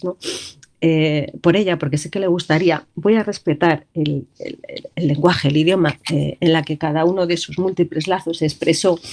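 A woman who is the voice-over of a radio show, speaking in a tribute with a broken, trembling, tearful voice, halting and restarting with small nervous laughs. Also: low-pitched, darkish tone. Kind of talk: emotional tribute on live radio.

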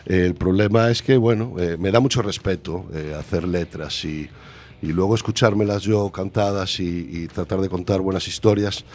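A man's voice: low-pitched, moderate pace, a little mellow. A man from Galicia with a Galician Spanish accent, a musician chatting in an interview, hesitant and self-deprecating as he searches for words. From Galicia, Spain. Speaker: musician guest. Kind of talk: casual interview chat.

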